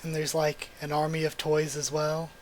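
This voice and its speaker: Young guy on a headset mic, voice kinda worn out and cracking, a bit high, chatty and hyped. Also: normal speed, slight background noise. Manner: chatty, excitable, thinks out loud. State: hoarse, voice cracking. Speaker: channel host reading manga aloud. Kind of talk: casual readthrough with commentary. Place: small room at home. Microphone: gaming headset mic.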